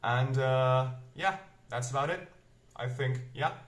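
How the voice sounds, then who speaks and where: A man's voice: mid-range voice, fairly bright tone. A man in his twenties with a Flemish accent that mixes American and British English, rambling through a casual bookshelf tour at home. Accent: Flemish, mixing American and British English. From Belgium. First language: Flemish dialect of Dutch. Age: 20s. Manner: rambling, self-correcting, unhurried. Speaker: book vlogger. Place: room at home. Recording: echoey room.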